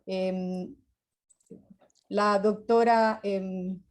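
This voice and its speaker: A woman moderating an online seminar, talking with hesitant, drawn-out filler sounds. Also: medium pitch, steady tone. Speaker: webinar moderator.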